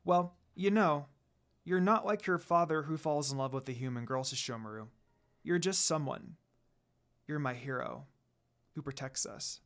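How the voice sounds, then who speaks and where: A man voicing a kind, gentle young woman in love in a romance story, speaking softly. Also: a little high, moderate pace, pitched below the performer's own voice. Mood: warm, teasing.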